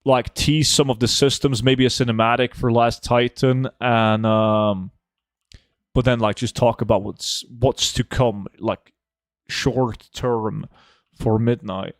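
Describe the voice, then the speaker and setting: A young man streaming a game, chatting casually and answering viewer questions in a relaxed, offhand way. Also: medium pitch, slow pace, dry recording, clean studio sound. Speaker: gaming streamer reading chat. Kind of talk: casual live gameplay chat.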